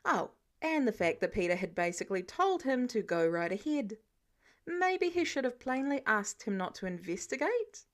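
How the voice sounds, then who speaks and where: High-voiced, expressive woman narrator. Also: moderate pace. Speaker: fan fiction audiobook narrator. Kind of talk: scripted story narration.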